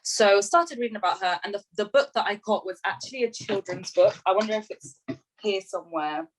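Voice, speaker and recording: A woman's voice: medium pitch, a little fast, rough recording. Chatty young woman on a video call. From grew up in London, England. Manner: eager, chatty, many fillers and restarts. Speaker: panelist, writer and performer. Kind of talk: online panel talk.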